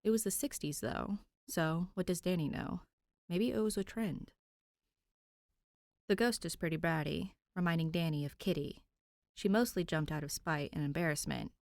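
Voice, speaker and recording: A woman's voice: lowish voice, moderate pace, creaky, a little mellow, no room sound. A woman podfic reader narrating fan fiction expressively, with an informal, conversational touch.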